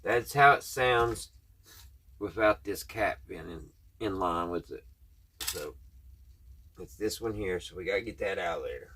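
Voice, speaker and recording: A man with a Southern US accent and a slightly husky voice, chatting casually in a folksy way as he talks through a hands-on repair, thinking aloud. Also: low voice, animated, measured pace, long pauses, bright, forceful tone, slight background noise. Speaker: host of a radio repair video. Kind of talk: casual hands-on tutorial chat. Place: at a workbench.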